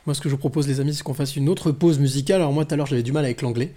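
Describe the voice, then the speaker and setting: A man hosting a casual podcast, chiming in with quick questions and short reactions. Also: medium pitch, mellow. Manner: quick, eager, chimes in often with short reactions. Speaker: podcast host. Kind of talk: casual radio-style chat.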